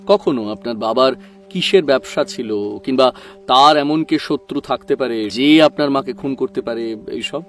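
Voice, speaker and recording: An actor playing a composed, probing male detective in an audio drama, questioning in a deliberate way. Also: medium pitch, fairly bright tone, soft background music, close to an everyday voice. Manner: inquisitive, deliberate.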